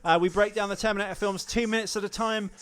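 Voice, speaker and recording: A man's voice: sits high, fairly even intonation, fast, a bit bright, smooth. A man hosting a comedic film podcast, chatting casually in a jokey, crude, rambling way. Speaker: podcast host.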